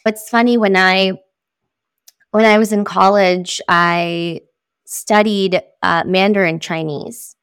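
A woman's voice: medium pitch, steady tone, slow pace, a bit bright, smooth, no echo. Earnest woman guest, dry close mic. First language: Spanish. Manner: earnest, reflective, speaks of love and spirit. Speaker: guest sound healer. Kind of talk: spiritual podcast conversation.

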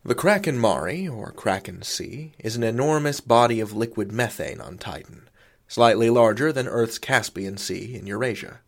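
A man's voice: medium pitch, lively intonation, normal speed, gravelly. A man hosting an audio drama podcast, delivering a scripted introduction with theatrical, suspenseful phrasing. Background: music.

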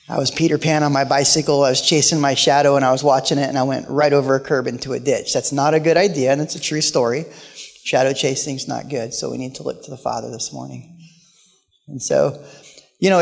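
A man preaching as a guest pastor with a quiet voice, telling stories with humorous asides in a church. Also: mid-range voice, gravelly. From Portland, Oregon. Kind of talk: sermon. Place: church sanctuary. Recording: live church hall with long reverb.

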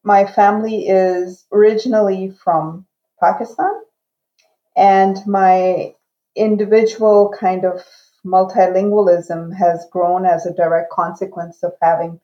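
A woman in her fifties who grew up in Iran and whose first language is Urdu answers in a slow, measured, reflective way. Her voice sits a little low. The sound is roomy and dull, like a remote call. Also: flat delivery. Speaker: guest, education professor. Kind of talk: interview answer.

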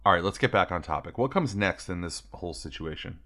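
Male podcast co-host, very low voice, playful banter. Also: expressive, fast, sharp tone. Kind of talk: mock news interview and casual chat.

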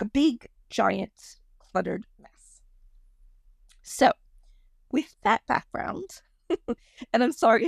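A woman in her thirties hosts a podcast alone, with a croaky, gravelly voice pitched a little high. She rambles in a casual, self-deprecating way and apologizes when she drifts off the point. The sound is dry and close. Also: no room sound. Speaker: podcast host. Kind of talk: casual solo podcast monologue.

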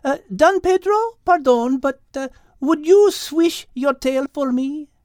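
A woman's voice: high-pitched, slow pace, darkish tone, dry recording, clean studio sound. A woman voicing a petite French cat, polite, patient and clever, in a children's audio drama with a French accent. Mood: polite, studious.

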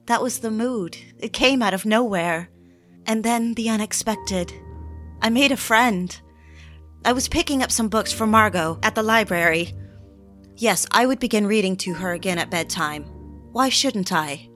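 Actress as a wistful, genteel young housewife. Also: female voice, medium pitch, moderate pace, a bit bright, soft background music, natural voice. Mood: confiding, hopeful. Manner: theatrical, confiding, genteel, old-fashioned phrasing. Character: fragile, wistful, eager young 1940s housewife. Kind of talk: dramatic scripted audio drama narration and dialogue.

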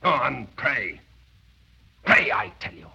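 Brash young British lieutenant, very sharp husky voice, emphatic, 1930s radio drama, dull recording. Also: male voice, mid-range voice, expressive, slow pace, exaggerated voice. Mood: stern, urgent. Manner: forceful, emphatic.

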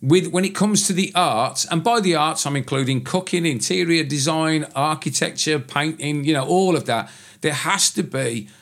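A man's voice: fairly high pitch, normal speed, vocal fry, a bit bright. A man co-hosting a casual podcast, talking in a rambling, opinionated way and swearing now and then. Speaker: podcast co-host, tattooist. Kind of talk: casual chat.

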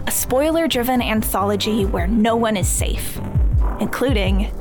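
Female announcer, fairly high voice, dramatic scripted trailer read over prominent music. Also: a little slow. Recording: loud music under voice.

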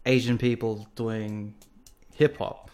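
A man who makes music, talking casually on a podcast and pausing often on fillers. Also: fairly low pitch, level tone, darkish tone, clear, resonant tone. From Australia. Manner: hesitant, many fillers. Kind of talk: casual chat.

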